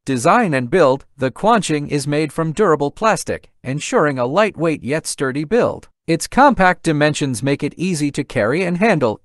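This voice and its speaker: A man's voice: a little high, no echo, studio quality. A man reading a scripted product review voice-over in a polished, promotional manner. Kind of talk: scripted narration.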